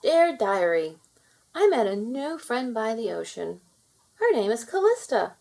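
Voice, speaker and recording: A woman's voice: mid-range voice, a little mellow. A woman voicing a sweet, chatty, secretive little girl in a children's storybook, with an earnest childlike manner.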